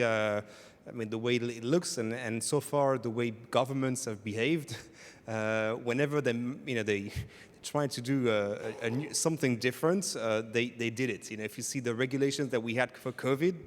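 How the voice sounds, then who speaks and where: A man's voice: mid-range voice, normal speed, slight background noise. A man on a conference panel, speaking frankly and skeptically, often breaking into small chuckles. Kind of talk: candid panel discussion. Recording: reverberant conference hall.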